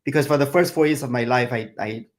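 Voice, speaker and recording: A man's voice: mid-range voice, quick pace. A middle-aged man from Thailand and Singapore, a chef answering interview questions in a reflective way, with many fillers. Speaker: chef and guest.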